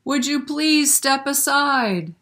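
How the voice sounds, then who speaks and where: A woman's voice: high voice, expressive, slow pace, fairly bright tone, pitched above the performer's own voice, full character voice. A woman reading the lines of an eager, polite, cheerful man in a children's storybook. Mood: urgent. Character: Mister McGee, cheerful, eager man with a little dog.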